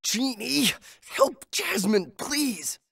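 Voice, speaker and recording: Earnest, lively young hero. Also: male voice, very high voice, very slow, creaky, husky, no room sound, studio quality, heavily acted. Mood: subdued, sincere. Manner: earnest, youthful, heartfelt. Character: earnest, brave, kind young street-urchin hero. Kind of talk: animated video game acting.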